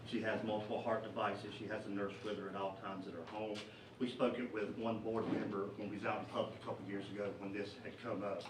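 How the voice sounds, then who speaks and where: A middle-aged man, a parent, giving public comment at a school board meeting, earnest and halting with many fillers. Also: lowish voice, flat delivery. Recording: echoey meeting room, distant mic, noisy.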